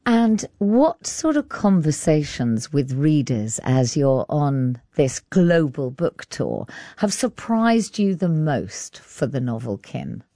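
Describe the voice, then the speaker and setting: A woman hosts an arts show on the radio with a notably low voice. The sound is dry and close. She delivers scripted links and interview questions as a presenter. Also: sing-song, takes it slowly, hardly any pauses, mellow, no echo.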